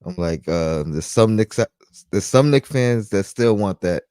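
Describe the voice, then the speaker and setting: An easygoing man co-hosts a casual fan podcast in a very low voice. He talks in a relaxed way and keeps checking in with "you know what I mean." The recording is dry and close. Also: a little slow, clean tone, no echo. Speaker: podcast co-host.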